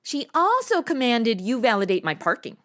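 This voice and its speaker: Woman as a lively, brash wisecracking gal. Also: a little high, moderate pace. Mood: breezy. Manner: wisecracking, casual, exaggerated. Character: brash, flippant, goofy modern young woman undercover. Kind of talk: comedy audio drama acting.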